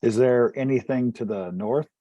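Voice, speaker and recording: A man's voice: mid-range voice, normal speed, dark tone, dry recording, muffled recording. A man chatting casually as a player in a tabletop role-playing game.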